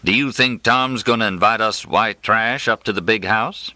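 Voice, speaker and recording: A man's voice: fairly low pitch, moderate pace, sharp tone, no room sound, pitched above the performer's own voice. A man voicing a big, folksy older doctor in an audiobook, a teasing neighbor with a drawling, familiar manner. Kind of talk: audiobook character dialogue.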